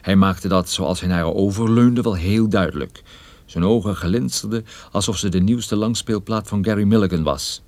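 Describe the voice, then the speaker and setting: A man acting as a wry, world-weary private detective narrating a radio drama in a dry, measured, husky way. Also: on the low side, darkish tone, dry recording, close to an everyday voice. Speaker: narrator and lead actor in a radio play.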